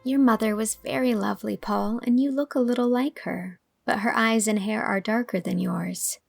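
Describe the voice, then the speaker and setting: A woman's voice: fairly high pitch, normal speed, creaky, no room sound. A woman reading scripted audiobook narration as the storyteller, voicing bits of dialogue along the way. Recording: music under the voice.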